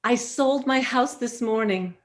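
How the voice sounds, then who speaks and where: A woman's voice: fairly high pitch, a little slow, lightly acted. A woman in a staged reading, playing a forthright, courteous suburban neighbour who speaks with composure. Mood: composed. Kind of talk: staged reading of a satirical play. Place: video call.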